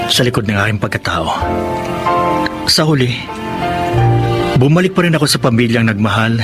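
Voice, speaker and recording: A Filipino man playing an earnest, gentle young adopted son in a radio drama. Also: mid-range voice, natural voice. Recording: loud sentimental music behind voice.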